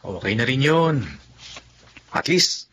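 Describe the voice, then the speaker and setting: A proud young man, played by a man in a radio drama, sounding hesitant and self-conscious. His tone is bright and tense, at a middle pitch. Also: expressive, slow pace, slight background noise. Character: proud young student, struggling driver.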